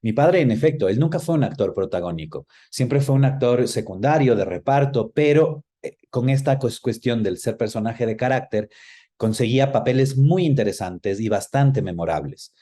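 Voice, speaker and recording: A man answering interview questions reflectively, often pausing to search for words. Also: medium pitch, lively intonation, dark tone. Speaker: actor, interview guest.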